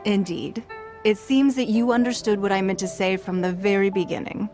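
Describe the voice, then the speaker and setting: A woman voicing a poised, shrewd female ruler in a fantasy video game, speaking in a formal, composed and measured manner. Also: mid-range voice, fairly even intonation, music in the background, close to an everyday voice. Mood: composed, resolute. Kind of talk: video game cutscene voice acting.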